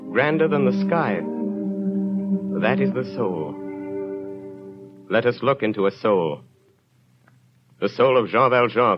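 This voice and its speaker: Measured male narrator for a vintage radio drama, muffled sound, music under. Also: on the low side. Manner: grand, measured storytelling. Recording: dull lo-fi 1930s radio broadcast with music.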